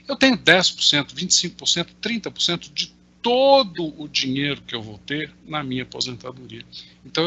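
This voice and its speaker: A man's voice: a little high, sharp tone, husky. A man in his sixties with a Brazilian Portuguese accent, explaining things in an online Q&A with worked examples, full of hesitant fillers. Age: 60s. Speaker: invited market analyst answering questions. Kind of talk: explanatory talk in a virtual Q&A. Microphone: video call.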